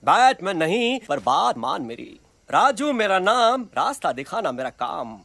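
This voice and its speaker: Casting: chirpy cartoon animal guide, high raspy voice, animated swoops, rhyming salesman patter. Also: a little slow, dry recording, full character voice. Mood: showy, glib. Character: chirpy, showy animal tour guide, glib and friendly. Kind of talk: animated film voice acting.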